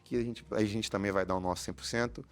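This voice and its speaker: A man's voice: fairly low pitch, fairly even intonation, quick pace. A man from Brazil, a coach, explaining a match to viewers in an informal, slangy way with frequent repeats. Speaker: esports coach.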